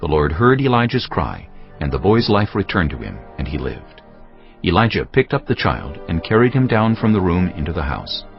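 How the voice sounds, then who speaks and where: A man's voice: sits low, sing-song, moderate pace, vocal fry, highs cut off, soft background music. A man narrating scripture as a scripted drama, in a solemn storytelling manner.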